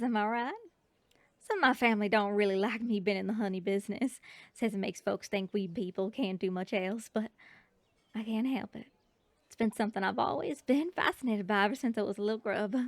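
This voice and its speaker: A sweet, sweet-talking bee girl honey seller with a Southern drawl, as a woman acts her out. She speaks softly at a mid pitch, now and then dropping to a hush, close to the mic in a dry, dead room. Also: no echo, lightly acted. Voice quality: drops to whispers at times. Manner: soft-spoken, sweet-talking, calls listener sugar. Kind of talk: ASMR roleplay.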